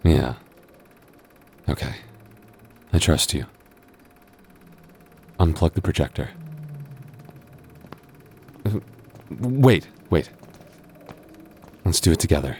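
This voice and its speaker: An actor playing a gentle, nervous goth boyfriend in an ASMR roleplay, soft-spoken and stammering when flustered. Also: male voice, extremely low pitch, big pitch swings, slow pace, pauses often, a little hiss or hum, exaggerated voice. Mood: tender, soft.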